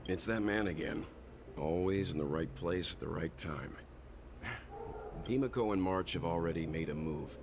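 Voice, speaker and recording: Mentor mayor muy grave y sereno. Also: male voice, deep voice, background noise. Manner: measured, thoughtful, reassuring. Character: calm older male companion, steady mentor. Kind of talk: game voice acting. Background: game music.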